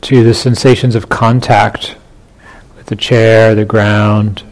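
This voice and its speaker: Slow, low-voiced man guiding meditation. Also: little pitch movement, rough recording. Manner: unhurried, with long pauses between short phrases. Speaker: meditation teacher. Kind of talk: guided meditation instruction.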